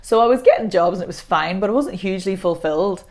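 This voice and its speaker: A woman, a voice coach chatting as a podcast guest, self-deprecating and quick to laugh. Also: medium pitch. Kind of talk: casual podcast conversation.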